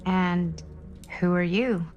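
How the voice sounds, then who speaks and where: A woman's voice: on the low side, slow pace, muffled recording, noisy background. An actress playing a polite, hesitant, plainspoken young woman in a cinematic video game. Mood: wary, polite. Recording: music under the voice.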